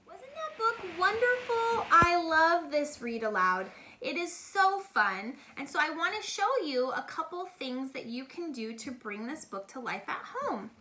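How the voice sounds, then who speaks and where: A woman's voice: high voice, big pitch swings, unhurried, hardly any pauses, bright, forceful tone, a little hiss or hum, poor audio. A middle-aged woman speaking casually and enthusiastically to children as a read-aloud host, explaining things simply, in a homemade recording. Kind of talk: casual educational talk addressed to children.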